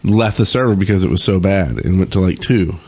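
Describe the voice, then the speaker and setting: A man's voice: sits low, brisk, darkish tone, husky. A man hosting a casual gaming podcast, chatting with a wry, joking, grumbling manner. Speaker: podcast host. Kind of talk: casual group chat about gaming.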